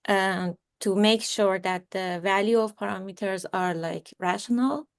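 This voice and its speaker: A woman's voice: mid-range voice, unhurried, vocal fry, clean tone, no room sound, clean studio sound. A young woman hosting a video seminar, answering questions and introducing speakers with many fillers. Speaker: seminar moderator. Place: home room. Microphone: video call.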